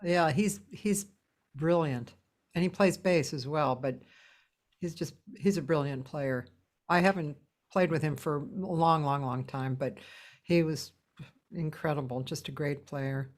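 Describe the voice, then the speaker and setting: A woman hosting an interview over a video call, talking in an unhurried way with frequent laughter. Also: low voice. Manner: unhurried, warm laughter, trailing pauses. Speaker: host. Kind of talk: casual interview chat.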